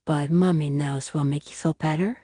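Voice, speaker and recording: Gentle, doting mother played by a woman for an ASMR bedtime audioplay: low-pitched, tender, cooing, recorded close and dry. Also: fairly even intonation, husky, dry recording. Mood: gentle, playful. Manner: soft, cooing, tender, slow. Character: gentle, doting mother comforting her small baby. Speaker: ASMR audio roleplay performer.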